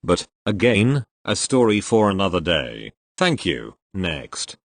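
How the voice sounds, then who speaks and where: A man with a fairly low voice narrates retail stories, reading steadily and even speaking punctuation marks aloud. His pitch still moves a lot from line to line, and the sound is close and dry with no room echo. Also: slow pace. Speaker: narrator reading online posts. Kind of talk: scripted narration.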